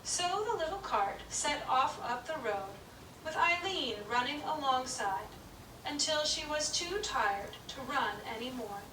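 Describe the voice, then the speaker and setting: A woman storyteller narrating a puppet show story in a gentle, measured reading. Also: high-pitched, animated. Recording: distant, roomy, noisy room.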